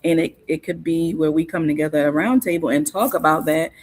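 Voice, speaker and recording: A woman, a guest in a casual interview, explaining things in an unhurried, informative way with frequent fillers and restarts. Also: deep voice, fairly even intonation, darkish tone. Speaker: guest on cultural topics.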